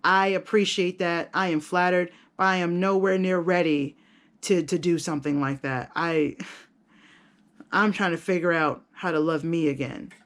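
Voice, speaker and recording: A woman's voice: lowish voice, fairly even intonation, normal speed. A woman chatting casually to her viewers as a vlogger, candid, self-deprecating and rambling, with slangy language and casual swearing. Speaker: video blogger and content creator. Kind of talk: casual vlog chat to viewers.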